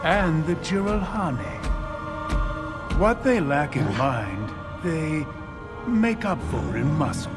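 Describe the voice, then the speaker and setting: An actor playing a haughty, silky alien zealot leader in a video game, orating with grandiose condescension. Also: male voice, on the high side, sing-song, slow, gravelly, music in the background, heavily acted. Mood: grandiose, menacing. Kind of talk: theatrical video game villain acting.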